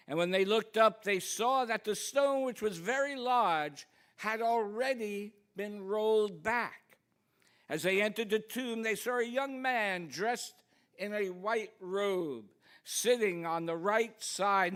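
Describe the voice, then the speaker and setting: A woman speaking as a minister to her congregation, with informal, self-deprecating asides between announcements and readings. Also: mid-range voice. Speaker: congregation minister leading the service. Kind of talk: church service announcements and scripture reading. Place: church sanctuary, speaking to a congregation.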